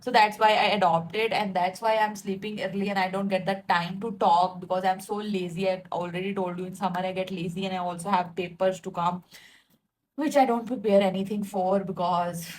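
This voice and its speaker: A woman's voice: mid-range voice, steady tone, brisk, darkish tone, a little hiss or hum, rough recording. A woman, ranting casually to her followers and laughing at herself, with lots of likes and fillers. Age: 20s. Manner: chatty, self-mocking, laughs often, filler-heavy. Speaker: vlogger. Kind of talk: casual rambling rant to followers.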